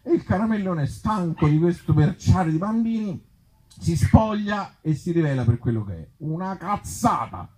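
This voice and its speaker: A man from Italy reading absurd short comic stories aloud to a live gathering, with deadpan humour and offhand, hesitant asides. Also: high voice, normal speed, husky, poor audio. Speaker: author and actor reading his comic tales at a live event.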